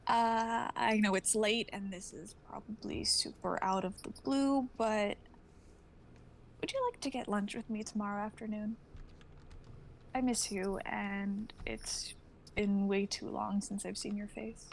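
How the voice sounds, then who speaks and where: A woman's voice: mid-range voice, fairly even intonation, a little slow, vocal fry. A woman playing an anxious, lonely young woman in a dramatic script reading over noisy livestream audio. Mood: tentative.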